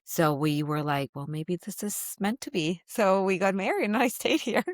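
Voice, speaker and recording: A woman from Mexico, chatting warmly as an interview guest and laughing often. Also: medium pitch, animated, moderate pace, dry recording, clean studio sound. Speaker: real estate professional guest. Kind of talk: casual interview conversation.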